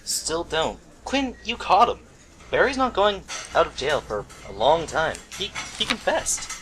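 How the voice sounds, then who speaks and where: A man's voice: a little high, wildly swooping pitch, measured pace, fairly bright tone, faint music behind, full character voice, low-quality recording. A man playing a detective's plain-spoken partner in an amateur radio play, subdued and matter-of-fact. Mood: subdued, reassuring.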